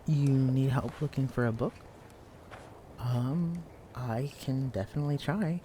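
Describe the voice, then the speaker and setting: A young man playing a shy, clumsy, bookish librarian in an intimate audio roleplay, speaking in a hesitant, stammering, hushed way. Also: medium pitch, a little slow, slight background noise, lightly acted. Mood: helpful, eager. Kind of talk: intimate audio roleplay acting addressed to the listener. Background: quiet library ambience.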